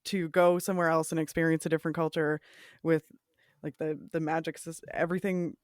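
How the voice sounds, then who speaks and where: A woman's voice: sits low, little pitch movement, brisk, no room sound, studio quality. A woman chatting casually as a podcast co-host. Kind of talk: casual chat.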